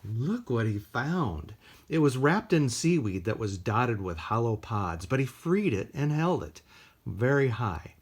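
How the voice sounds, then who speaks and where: A man with an American accent reading aloud at a children's storytime, expressive and deliberate, with casual asides in simple words. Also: medium pitch, slightly rough. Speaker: library storytime reader.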